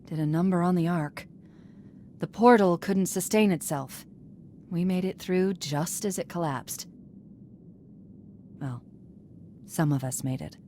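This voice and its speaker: A woman's voice: on the low side, unhurried, pauses often, mellow, lightly acted. An actress playing a caring, devoted female artificial intelligence companion in a video game, tender and intimate in manner. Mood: earnest, reflective. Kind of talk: dramatic video game cutscene acting. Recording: faint ambient noise.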